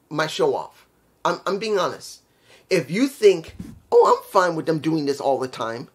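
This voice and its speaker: A man with a New York accent, reviewing a wrestling show in a casual, rambling, blunt way, often repeating himself. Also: on the high side. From New York City. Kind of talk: casual solo video review.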